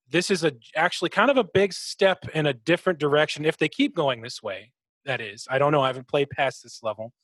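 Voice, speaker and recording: A man's voice: fairly high pitch, fairly bright tone, no echo, poor audio. A man co-hosting a podcast, casually analysing a video game and often searching for his words. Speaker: podcast co-host.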